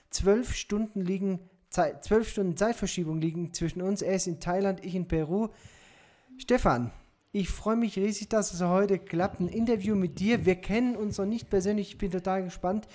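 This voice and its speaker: A man hosting an interview podcast over a video call, greeting his listeners warmly and asking measured questions. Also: high voice. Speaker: podcast host.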